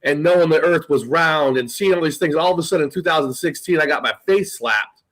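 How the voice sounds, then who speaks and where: A middle-aged man hosting a casual podcast chat, talking in an animated, loose way and swearing freely. His voice sits a bit high for a man. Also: a little fast. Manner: animated, swears, laughs often. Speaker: podcast host.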